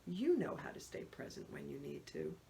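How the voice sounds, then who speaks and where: Woman reading as calm, soothing husband. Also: lowish voice, normal speed. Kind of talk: dialogue in novel reading. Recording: noisy home recording.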